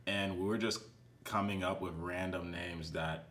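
A man's voice: low voice, steady tone, measured pace, fairly bright tone, a little hiss or hum. A man chatting casually as a music producer guest on a podcast. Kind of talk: casual chat.

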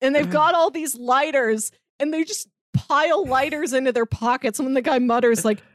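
A woman's voice: sits high, normal speed, no room sound, clean studio sound. A woman co-hosting a casual podcast, chatting eagerly about a TV show and laughing often. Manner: enthusiastic, gushing with laughs. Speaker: podcast co-host. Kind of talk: casual chat.

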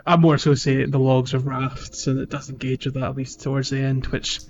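Casual male games podcaster, medium pitch, halting conversational phrasing, slightly muffled recording, music bed. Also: monotone, gravelly. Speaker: podcast host. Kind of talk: casual podcast chat about a video game.

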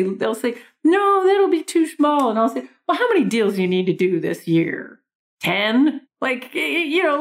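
A woman with a Canadian accent, a podcast guest who tells quick, energetic stories and laughs often. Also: a little high. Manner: energetic storyteller, laughs often, quotes people in voices. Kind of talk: podcast interview.

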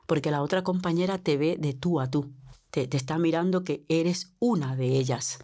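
A woman's voice: deep voice, a little mellow. A middle-aged Dominican woman who organizes domestic workers, answering interview questions earnestly, often repeating words as she searches for them. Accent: Dominican Spanish. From Dominican Republic. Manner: earnest, repeats words while searching, acts out quoted dialogue.

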